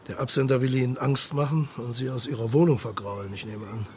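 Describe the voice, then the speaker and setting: A man acting a patient police marshal in a German radio crime play. His mid-pitched voice is measured and probing, turning calmly reassuring. Faint noise and ambience sit behind him. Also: lightly acted. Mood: placating.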